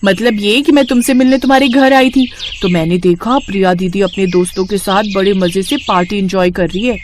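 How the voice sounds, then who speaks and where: A woman's voice: mid-range voice, fairly quick, a little mellow, slight background noise, natural voice. A woman voicing a jealous, scheming college girl in a moral-story cartoon, with a sly, taunting manner. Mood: sly, goading.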